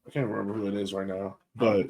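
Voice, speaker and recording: A man's voice: fairly low pitch, little pitch movement, fast talker, darkish tone. A man co-hosting a soccer podcast in casual chat, laid-back and thinking aloud, often trailing off. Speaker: podcast co-host.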